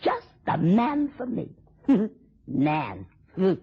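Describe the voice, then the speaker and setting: An actress in a radio drama playing a drunken, taunting, spiteful wife with a theatrical, mocking drawl. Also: female voice, mid-range voice, huge pitch swings, extremely slow, husky, heavily acted. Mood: bitter, taunting. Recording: dull, lo-fi old radio recording.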